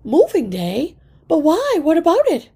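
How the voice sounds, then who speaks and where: A woman voicing a caring mother mouse in a children's storybook, soothing, concerned and gently firm. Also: very high voice, huge pitch swings, measured pace, voice pushed up, exaggerated voice. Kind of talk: children's storybook read-aloud.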